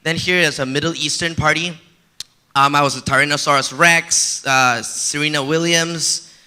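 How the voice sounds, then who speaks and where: A man from Malaysia giving a conference talk on stage in a relaxed, personal way with frequent fillers. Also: a little high, normal speed, clear, resonant tone. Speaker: conference speaker. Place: conference stage before an audience.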